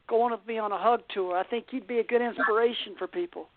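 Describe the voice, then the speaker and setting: A woman's voice: on the high side, steady tone, fast, dry recording. A woman hosting a call-in radio show in a casual, chatty style over a phone line. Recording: narrow tinny phone-line sound.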